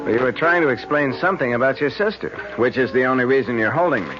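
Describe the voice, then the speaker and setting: A man's voice: mid-range voice, lively intonation, quick pace, lightly acted. An actor playing a blunt, impatient young man in an old radio drama. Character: blunt young man Jeff, Marge's suitor. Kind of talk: 1970s radio drama acting. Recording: dull lo-fi old radio broadcast with music.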